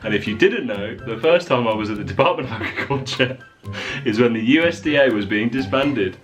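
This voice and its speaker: A man's voice: medium pitch, lively intonation, fairly quick, soft background music. A man reading short stories aloud in a jokey dramatic way, often breaking into laughter mid-sentence. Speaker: vlogger.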